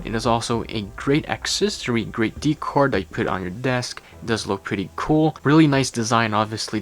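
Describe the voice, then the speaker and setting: A man narrating a tech gadget review in an explanatory, step-by-step way. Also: medium pitch. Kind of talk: product review voice-over.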